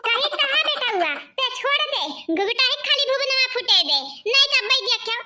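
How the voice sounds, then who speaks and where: A woman's voice: very high voice, animated, a little fast, background noise. A woman voicing a crafty, defiant old lame village mother-in-law in a cartoon, scolding and boasting with an Awadhi accent. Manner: boastful, scolding, repeats words for emphasis. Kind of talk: exaggerated comic cartoon acting.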